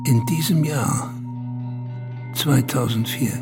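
A man's voice: medium pitch, slow, natural voice. A man acting a brooding older patriarch who narrates in the second person in a literary radio drama. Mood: ominous. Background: loud music.

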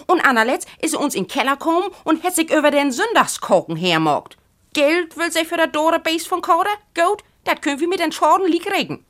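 Bossy storybook aunt, played by a woman reader, Low German accent, pitched up high, loud, exclamatory, swooping. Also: lively intonation, brisk. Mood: indignant, gleeful. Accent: northern German, Low German. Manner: exclamatory, forceful. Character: feisty, loud, bossy aunt, boisterous and indignant. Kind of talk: storybook character voice.

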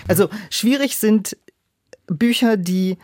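A woman's voice: medium pitch, takes it slowly, darkish tone, no echo, studio quality. A woman speaking in a reflective interview as an audiobook director, explaining things and illustrating them with quoted asides. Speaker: audiobook director and producer, podcast guest.

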